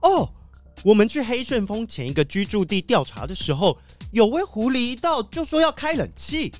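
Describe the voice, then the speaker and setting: A woman plays an earnest wolfdog sheriff in a children's radio play. The voice leaps up and down excitably, with a Taiwanese accent and light music underneath. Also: on the high side, normal speed. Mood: astonished. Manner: exclamatory, earnest. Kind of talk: children's audio drama acting.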